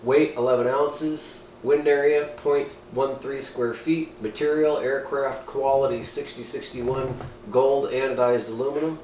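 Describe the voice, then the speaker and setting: A man talks through a piece of hobby gear in a roomy, echoing space with faint noise behind him. His pitch is mid-range for a man. He sounds informal and folksy, slipping between reading aloud and offhand comment. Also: measured pace. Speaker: amateur radio hobbyist reviewing gear. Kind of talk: casual product review, partly reading aloud. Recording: roomy echo, steady background noise.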